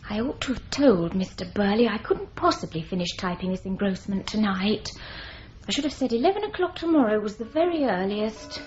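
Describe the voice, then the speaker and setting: A woman's voice: medium pitch, lively intonation, fairly quick, darkish tone, dull audio, natural voice. An actress playing a fluttery, timid female typist in a radio drama, with an anxious manner. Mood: fretful. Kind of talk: 1950s-style BBC radio drama.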